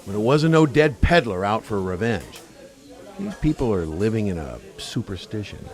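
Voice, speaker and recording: An actor playing a brash, skeptical, wisecracking buddy in a radio play, cajoling in colloquial speech. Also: male voice, fairly low pitch, sing-song, normal speed, a crowd in the background. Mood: skeptical, dismissive.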